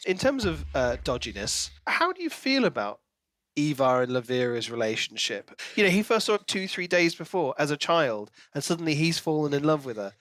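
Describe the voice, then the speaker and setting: A man with a fairly high voice and very lively pitch movement co-hosts a book discussion. His delivery is casual and questioning, as in conversation. The recording is close and dry. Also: fairly quick, no echo. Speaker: podcast co-host.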